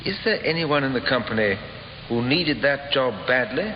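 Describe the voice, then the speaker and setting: An actor playing a composed police inspector in a radio drama, questioning in a measured, dry and politely firm way. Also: male voice, medium pitch, expressive, close to an everyday voice. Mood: probing, measured. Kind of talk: 1950s radio drama acting. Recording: dull, noisy, echoey old radio recording.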